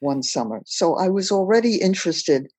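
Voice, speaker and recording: An older woman from Belgium, recounting her life story as a podcast guest in a measured, plain-spoken way. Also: on the low side, very animated, darkish tone, slightly rough, no echo. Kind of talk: personal storytelling in a podcast interview.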